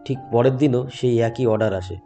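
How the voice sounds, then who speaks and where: Male first-person horror narrator, soft dark tone, music underneath. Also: mid-range voice, a little fast. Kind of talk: scripted horror story narration. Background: background music.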